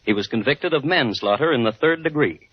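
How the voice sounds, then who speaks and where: A deadpan, formal host of grim crime tales narrates in 1950s radio style. The voice is husky with an animated pitch, on a dull vintage recording. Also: male voice, fairly quick, dry recording. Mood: dry, measured. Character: dry, measured male crime historian hosting grim tales.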